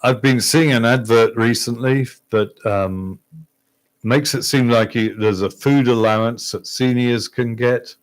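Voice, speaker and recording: A man's voice: mid-range voice, unhurried. A man, a guest on a local radio talk show, speaking hesitantly with many restarts. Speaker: insurance agent guest. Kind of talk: casual radio chat. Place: radio studio.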